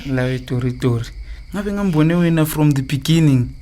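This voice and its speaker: A man's voice: a little high, a little slow, warm tone, close to an everyday voice. A man with a Zimbabwean accent playing an evasive, cajoling philandering husband in a radio drama. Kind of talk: radio drama acting in Ndebele.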